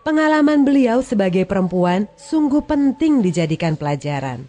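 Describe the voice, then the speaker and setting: Sharp-witted, principled café proprietress played by a woman, mid-pitched, probing and gently mocking, drama dialogue. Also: very animated, moderate pace. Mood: earnest, firm. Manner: probing, teasing, admonishing. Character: shrewd, principled women's advocate running a community café.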